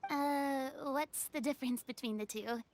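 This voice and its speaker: A woman's voice: sits high, a little slow, slight background noise. A woman playing a cautious young noblewoman in a video game, speaking hesitantly and stammering a little as she worries.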